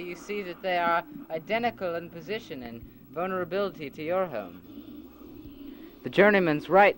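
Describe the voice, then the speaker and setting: A man's voice: fairly high pitch, slight background noise, pitched above the performer's own voice, rough recording. A man voicing a cheerful old man who speaks eagerly and persuasively in an amateur audio drama. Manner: bright, enthusiastic and persuasive.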